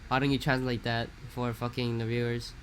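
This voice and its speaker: Casting: young man, hoarse and losing his voice, rambling and profane, typical pitch, light background hiss. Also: level tone, a little fast, fairly bright tone. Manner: profane, rambling, slangy with frequent "bro". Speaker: podcast co-host. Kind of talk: casual chat.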